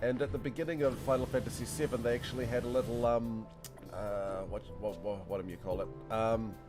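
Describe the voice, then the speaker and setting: A man's voice: medium pitch, steady tone, fairly quick. A man streaming a game, chatting casually and rambling to his viewers with frequent laughs. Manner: chatty, rambling, laughs often, talks to chat. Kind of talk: casual gameplay commentary. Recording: game music under the voice. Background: game music.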